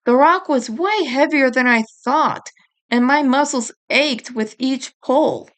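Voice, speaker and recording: Slow, high-voiced woman narrating a story. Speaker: author reading own book chapter.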